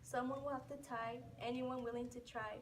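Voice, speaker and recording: A woman's voice: on the high side, level tone, normal speed, natural voice. A woman playing a fussy, scheming cottage sister in an amateur rhyming puppet show. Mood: complaining. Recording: distant mic, clearly noisy, clicks.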